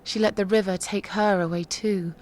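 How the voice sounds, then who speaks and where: An actress playing a sardonic, eerie woman storyteller, delivering horror tales in a creaky voice with deliberate pauses and drops toward a whisper. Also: medium pitch, steady tone, darkish tone, slight background noise, close to an everyday voice. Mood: hushed, mournful. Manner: slow, deliberate, often dropping to a whisper. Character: sardonic, eerie woman telling a dark cat legend.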